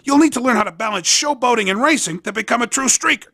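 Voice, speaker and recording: A man playing an upbeat young hoverboard race promoter in a video game, talking in slangy, cocky sales patter with a raspy edge. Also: very high voice, lively intonation, fast, bright, forceful tone, exaggerated voice. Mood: cocky, instructive. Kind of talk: scripted video game character dialogue.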